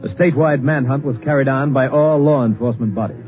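Police-drama narrator, male, American, sober formal delivery, middle pitch, vintage lo-fi radio sound. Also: fast talker, faint music behind, close to an everyday voice. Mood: grave, factual. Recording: muffled old radio broadcast recording.

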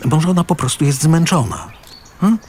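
A man's voice: a little high, moderate pace, a little mellow, slight background noise, close to an everyday voice. An actor playing an exasperated middle-aged husband and father in a naturalistic radio drama, reasoning and reproachful, with pointed, hesitant phrasing. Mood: persuasive, insistent.

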